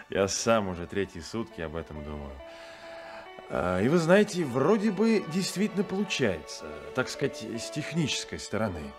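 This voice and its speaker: An actor playing a brisk, commanding male ship captain in a 1970s radio drama. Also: on the low side, wildly swooping pitch, faint music behind. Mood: friendly. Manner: brisk, gives orders.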